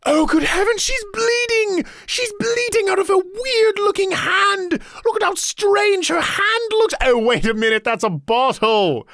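A man's voice: extremely high pitch, animated, a little slow, sharp tone, dry recording. A man improvising a comedic cartoon dub in a theatrical, exclamatory manner. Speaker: comedy dubber, channel host.

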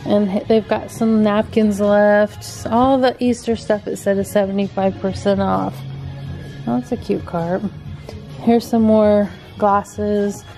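A woman's voice: mid-range voice, fairly even intonation, slow, dark tone, faint music behind, low-quality recording. A middle-aged woman, filming a chatty shopping vlog in a home decor store and gushing over cute items on a handheld camera. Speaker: vlogger. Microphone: handheld camera mic.

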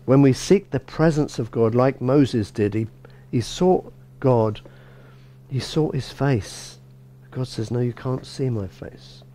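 A middle-aged man preaches a sermon from a lectern in a small church. His voice is mellow and dark, set at an ordinary middle pitch. He talks loosely and conversationally, stumbling and restarting with plenty of "you know". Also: takes it slowly, a little hiss or hum. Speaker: bishop. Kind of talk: informal sermon.

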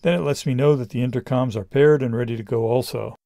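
A middle-aged man reading a scripted product review in a measured, explanatory way. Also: medium pitch, steady tone, vocal fry, dark tone, no echo.

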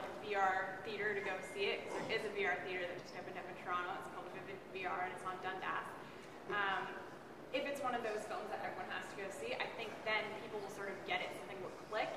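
Chatty woman panelist in an echoey hall. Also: medium pitch. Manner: enthusiastic, chatty, frequent "like" and "um". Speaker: meetup panelist. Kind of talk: casual panel discussion. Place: coworking space meetup room. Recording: echoey hall from far off, loud room noise.

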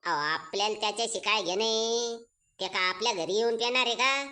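A man's voice: sits high, fairly quick, bright, forceful tone, clear, resonant tone. A man with a rural Maharashtrian accent voicing a greedy, boastful village father in a comic cartoon, haggling insistently in folksy speech. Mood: boastful, demanding.

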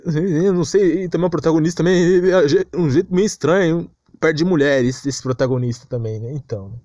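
A man's voice: fairly high pitch, brisk, dark tone, no echo, clean studio sound. A man with a Brazilian Portuguese accent giving an informal, exasperated rant as an anime commentator, repeating himself and using lots of slang.

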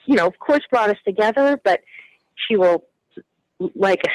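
Excited woman caller on a radio show. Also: mid-range voice, normal speed, dry recording, poor audio. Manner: gushing, excited. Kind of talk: phone-in chat.

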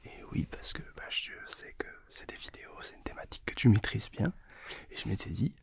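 A young man speaks very quietly in a whisper, hosting a casual interview. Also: on the low side, sing-song, a little fast, creaky. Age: young adult. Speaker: host. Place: small indoor studio with sofa. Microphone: shared desktop condenser microphone.

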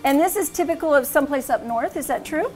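A woman's voice: high voice, animated, fast talker, darkish tone, faint music behind. A middle-aged woman hosting a casual, upbeat chat over dinner on a restaurant patio, with a lapel mic. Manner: chatty, upbeat, leads the talk. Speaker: host.

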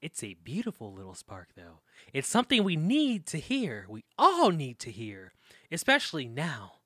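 A man's voice: fairly high pitch, huge pitch swings, normal speed, pushed and bright, no echo, clean studio sound, exaggerated voice. A man playing an awkward, earnest young student in an audio drama, prone to flustered stammering. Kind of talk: modern audio drama acting.

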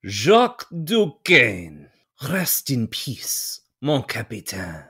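A man playing a smug, theatrical French circus villain in a motion comic, with a husky voice and a pompous manner. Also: medium pitch, wildly swooping pitch, drawn-out words, fairly bright tone, dry recording, clean studio sound, heavily acted. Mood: mock solemn.

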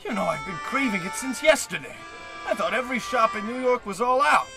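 A man's voice: very high voice, expressive, fairly quick, over music. A man playing a pompous, blustering rich celebrity in theatrical old-time radio comedy style. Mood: jovial. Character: pompous, excitable rich male celebrity host.

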